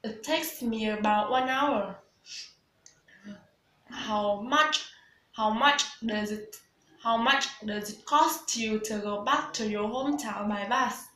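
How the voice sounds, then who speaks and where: A woman's voice: on the high side, slow, a bit bright, echoey room, a little hiss or hum, rough recording. A woman in her twenties with a Vietnamese accent, practising scripted English dialogue as a student, hesitant with many fillers and restarts. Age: 20s.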